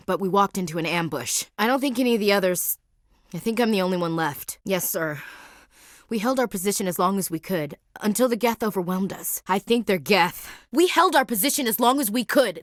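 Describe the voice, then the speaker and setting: A woman's voice: mid-range voice, a bit bright, no echo, clean studio sound, lightly acted. An actress playing a tough, blunt young female marine in a video game, speaking in a direct, clipped soldierly manner. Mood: urgent. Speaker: game character.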